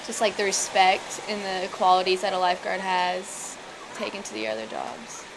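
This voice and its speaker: A woman's voice: medium pitch, sing-song, moderate pace. A seventeen-year-old young woman talking casually in a recorded vox pop interview. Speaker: lifeguard, student. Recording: clear ambient noise on location.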